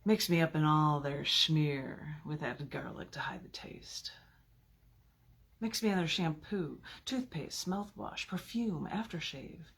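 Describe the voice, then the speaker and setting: A woman poet with a husky, gravelly voice, reading her work theatrically. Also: sits low, slow. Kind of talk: dramatic poetry reading with casual asides.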